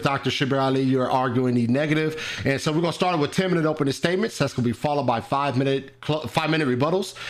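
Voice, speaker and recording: Laid-back male debate host. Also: medium pitch. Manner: laid-back, says "man" often. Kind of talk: casual livestream hosting.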